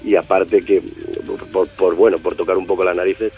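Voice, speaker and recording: A male singer answering interview questions in a relaxed, rambling way, thinking aloud with fillers. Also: low voice, normal speed, faint music behind. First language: Spanish. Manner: thoughtful, rambling with fillers and restarts. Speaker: singer of a band, interview guest.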